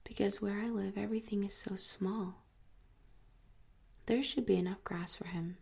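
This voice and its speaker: A solemn little boy from another world asks softly and gravely, whispered by a woman reading a storybook. The voice is breathy and a little gravelly, held on a flat, even line. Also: mid-range voice, normal speed. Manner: soft, grave, insistent.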